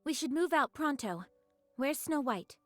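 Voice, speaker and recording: A young, capable female squad leader in an anime game. Her voice is high, composed and polite, with decisive phrasing. It is recorded dry over faint music. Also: animated, a little slow, fairly bright tone, no room sound.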